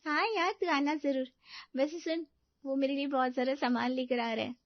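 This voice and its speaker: A woman's voice: sits high, steady tone, moderate pace, no room sound, highs cut off, pitched above the performer's own voice. A woman voicing a pampered, boastful young rich wife in a storybook tale, gushing in a showy way. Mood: happy, boastful.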